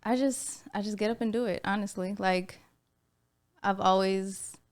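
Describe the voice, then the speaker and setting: A young woman talking softly and easily as a podcast guest, telling her story in a laid-back way. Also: medium pitch, steady tone, unhurried. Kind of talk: casual conversation.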